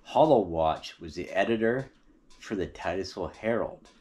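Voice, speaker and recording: A man's voice: low voice, lively intonation, normal speed, darkish tone, slightly rough. An American man telling local stories as a vlogger in a casual, folksy, chatty way, talking straight to his viewers. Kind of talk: casual storytelling vlog about local history. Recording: noisy, with ambient vehicle sounds.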